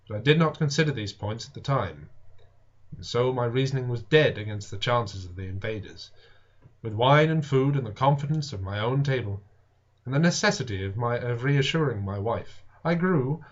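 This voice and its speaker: Man reading dramatically, dull lo-fi recording. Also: mid-range voice. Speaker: audiobook reader and show host. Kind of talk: dramatic scripted narration of a classic novel.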